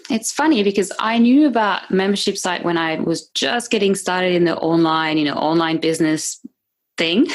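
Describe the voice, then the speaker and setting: Low-voiced woman with a French accent. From France. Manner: chatty, laughs often, many fillers and restarts. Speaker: guest, membership business owner. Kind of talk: podcast interview.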